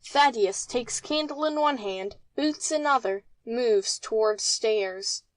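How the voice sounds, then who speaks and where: Dry-sounding, higher-pitched woman narrator. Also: slow pace, no room sound. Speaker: narrator of stage directions. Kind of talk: scripted narration, reading stage directions.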